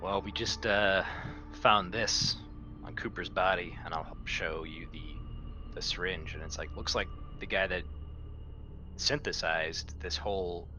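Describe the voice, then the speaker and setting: A man's voice: low-pitched, steady tone, unhurried, pushed and bright, faint music behind. A man playing a tabletop roleplaying game, improvising in character in a casual, blunt and assertive way. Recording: clear background noise.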